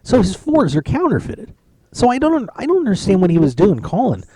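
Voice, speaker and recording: A man's voice: sits high, wildly swooping pitch, a little fast, mellow, breathy edge, no room sound, low-quality recording. A man hosting a poker radio show, chatting casually and joking from a lounge at a poker tournament. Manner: chatty, joking, rambling with restarts. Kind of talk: casual live-stream chat.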